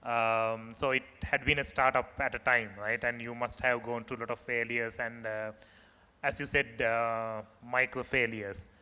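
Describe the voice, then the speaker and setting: Guy moderating a panel, sharp bright voice, lots of uhs, echoey hall. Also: mid-range voice, flat delivery, normal speed, clean tone. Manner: polite, prompting with hesitant fillers. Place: large conference hall.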